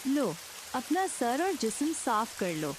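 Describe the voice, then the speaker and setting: A nagging village wife from a cartoon tale, voiced by a woman, speaks in a fairly high voice with lively swings. She is bossy, homely and insistent. Rain and hiss run behind her. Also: takes it slowly, exaggerated voice, rough recording. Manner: insistent, scolding. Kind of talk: cartoon story dialogue acting. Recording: hiss with rain and sizzle noise.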